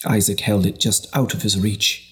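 A man narrates a historical novel in a low voice. His delivery is measured and scripted, shaped like storytelling for an audiobook. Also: little pitch movement, fairly bright tone.

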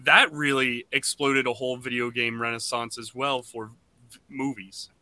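Guy podcast host, really bright punchy voice, dry close sound, chatty, keeps restarting his sentences. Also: mid-range voice, fairly even intonation, normal speed, dry recording. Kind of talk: casual podcast discussion.

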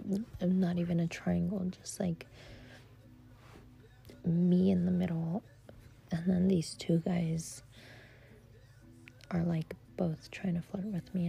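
Young woman whispering a story, low flat voice, dark tone, lots of likes. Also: gravelly, breathy edge. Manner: whispering throughout, chatty, swears, many likes and fillers.

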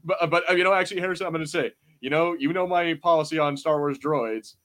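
A man in his twenties co-hosting a podcast, chatting casually in a rambling, animated, sweary way. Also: fairly high pitch, fast talker. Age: 20s. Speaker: podcast host. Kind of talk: casual panel chat reviewing a show.

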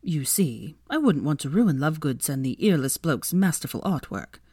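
A dry, mischievous grown man speaking in a soft, wry tone, as a woman lowers her voice for him. The sound is close and dry. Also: sing-song, moderate pace, husky, no room sound, full character voice. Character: Draco, adult man teacher, dry and mischievous.

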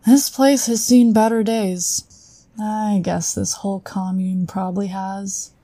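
A woman's voice: medium pitch, fairly even intonation, takes it slowly, mellow, dry recording. A woman playing a confident, powerful psychic agent in a sci-fi audio drama, direct and matter-of-fact.

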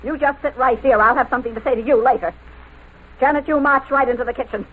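A woman's voice: high-pitched, steady tone, quick pace, lightly acted. A middle-aged woman playing a stern, cold, commanding mother in a 1940s radio drama, with clipped orders. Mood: stern anger. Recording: noisy narrow-band old radio broadcast.

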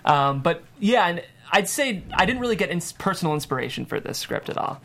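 A man's voice: high voice, sing-song, brisk, a bit bright. A man from the US Midwest with an American accent, a screenwriter speaking casually and reading script narration. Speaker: screenwriter reading stage directions.

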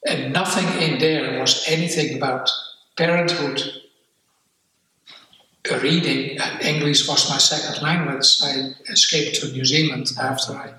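Older man, Dutch accent, bright tone, halting and candid, far from the mic. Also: on the high side, steady tone. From Netherlands. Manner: candid, halting, repeats words. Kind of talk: personal reflective testimony. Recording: echoey room, off-mic.

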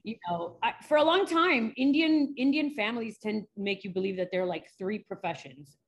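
A woman's voice: medium pitch, expressive, a little fast. A woman from New York, a forensic psychologist chatting candidly in an interview, laughing often. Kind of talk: casual interview chat.

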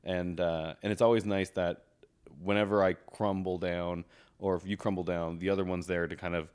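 A man's voice: low voice, level tone, moderate pace, darkish tone, smooth. A man in his thirties co-hosting a podcast, chatting casually and rambling with many restarts. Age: 30s. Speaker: podcast co-host. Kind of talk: casual chat.

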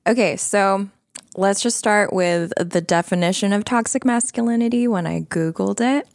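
A woman's voice: medium pitch, moderate pace, vocal fry, no room sound, studio quality. A woman hosting a podcast panel in a conversational style. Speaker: podcast host.

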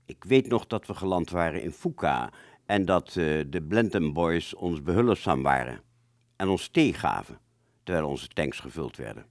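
A man's voice: low-pitched, expressive, moderate pace, dry recording, clean studio sound. A man playing a wartime fighter pilot who looks back on a mission, telling it reflectively and hesitantly in a radio play. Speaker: storytelling narrator of a radio play. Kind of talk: reflective first-person radio drama narration.

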